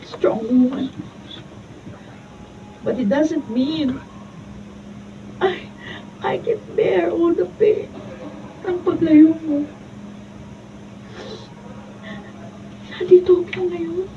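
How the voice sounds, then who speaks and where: A woman's voice: very high-pitched, slow pace, pauses often, heavily acted. An actress playing a passionate, wronged woman in a Filipino radio drama, with intensely emotional, melodramatic delivery. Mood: wounded, melancholy. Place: radio drama recording studio. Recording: muffled, noisy, distant off-camera studio capture.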